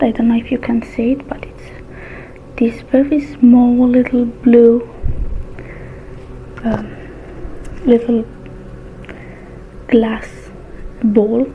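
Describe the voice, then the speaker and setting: A woman doing an ASMR roleplay as a technician, murmuring softly and mostly in a whisper, with unhurried pauses. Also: on the high side, phone-quality sound, slight background noise.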